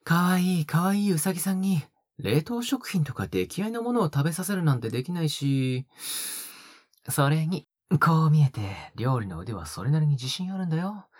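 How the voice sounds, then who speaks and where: A male actor playing a possessive young captor in an intimate audio drama, coaxing softly and often slipping into a whisper. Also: on the high side, big pitch swings, normal speed, no echo, clean studio sound. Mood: coaxing sweetness. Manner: soft, coaxing, often dropping to a breathy whisper. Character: possessive, sickly-sweet young male captor doting on a pet-like girl. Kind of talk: intimate audio drama acting, spoken to the listener.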